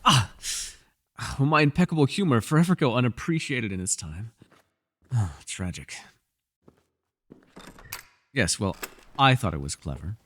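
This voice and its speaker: A man performs a bookish male elf companion for a fantasy audio roleplay, with a lowish voice and very lively intonation. He is wry, guarded and formally wordy, and the sound carries a long hall-like reverb. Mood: wry, playful. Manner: wry, wordy and formal, flustered when pressed. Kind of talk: fantasy ASMR roleplay acting.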